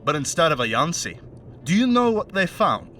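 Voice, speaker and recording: A man playing a mocking, menacing crime henchman with a put-on Scottish accent in an audio play monologue, chatty and sardonic with threatening pauses. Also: on the high side, very animated, a bit bright, far from the mic, slight background noise. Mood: sardonic storytelling. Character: menacing, mocking male crime henchman taunting a bound captive.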